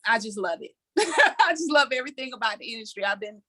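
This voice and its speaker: A woman from Atlanta, Georgia, introducing herself casually on a video call in an excited, gushing way. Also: fairly high pitch, quick pace. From Atlanta, Georgia, United States. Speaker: actress.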